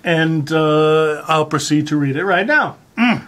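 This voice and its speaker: A presenter with a halting, hesitant, deadpan delivery, speaking in a mock-serious way while sharing a piece of writing aloud. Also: medium pitch. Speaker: presenter reading a found article aloud. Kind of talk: rambling deadpan introduction and mock-serious dramatic reading.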